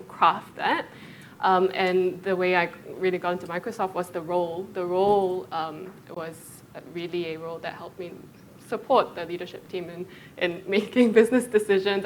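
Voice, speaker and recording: A woman's voice: fairly low pitch, slight background noise. Laughing, chatty woman panelist. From Singapore. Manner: chatty, laughing often, trailing off. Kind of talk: panel talk about her career.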